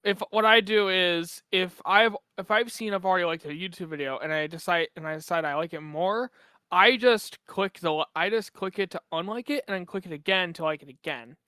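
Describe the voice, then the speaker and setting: A man's voice: high-pitched, fairly quick, bright, forceful tone, clean tone, dry recording. A young man in casual podcast chat, explaining hesitantly with restarts.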